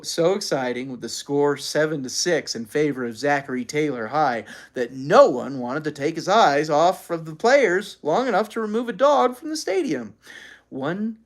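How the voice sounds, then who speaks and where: Expressive read-aloud narrator for children's fiction, mid-pitched, with wide swings in intonation. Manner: animated, acts out characters' lines. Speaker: storyteller reading aloud.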